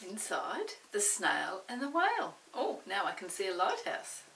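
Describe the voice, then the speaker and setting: An older woman tells a picture-book story to young children at a very slow pace. She pauses to describe and question as she goes. The recording is echoey and a little distant from the mic, with faint hiss behind her. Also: medium pitch, wildly swooping pitch, gravelly, fairly bright tone. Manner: unhurried, pausing to describe pictures and ask questions.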